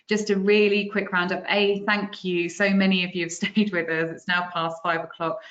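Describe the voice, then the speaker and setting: A woman moderates a webinar, halting and filler-heavy as she hands out questions. Her voice sits low, and the video call audio sounds muffled. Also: level tone, a bit bright. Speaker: webinar host.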